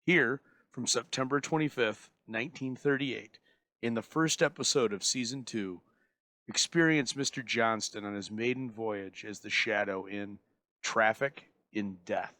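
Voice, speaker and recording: A man's voice: lowish voice, measured pace, bright, forceful tone, no echo. A man reading scripted narration as the host introducing an old radio programme.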